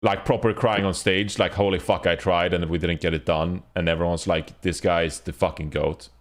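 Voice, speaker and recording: A man's voice: low-pitched, fairly even intonation, moderate pace. A man hosting a casual esports panel discussion, talking in a blunt, rambling, profane way. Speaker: podcast host.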